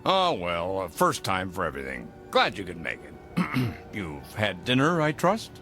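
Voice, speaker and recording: An actor playing an eccentric older gentleman, a secretive mentor with a grandiloquent, fatherly manner in a theatrical radio drama. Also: deep voice, huge pitch swings, a little slow, soft background music, heavily acted. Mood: genial, knowing. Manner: grandiloquent, avuncular, emphatic. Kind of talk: theatrical audio drama acting.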